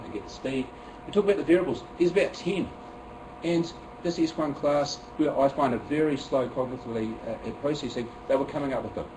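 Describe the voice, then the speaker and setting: Fast-talking New Zealand man presenting to teachers. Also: mid-range voice, distant mic, noisy background. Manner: chatty and self-deprecating, many fillers and restarts. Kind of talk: workshop presentation. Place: room with an audience.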